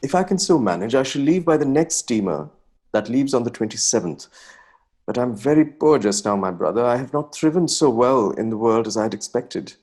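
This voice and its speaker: A man's voice: medium pitch, expressive, fairly quick, darkish tone. A man with an Indian accent playing an effusive, anxious young nineteenth-century Bengali poet, reading his letters aloud in a theatrical, ornate, exclamatory manner. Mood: distressed, urgent.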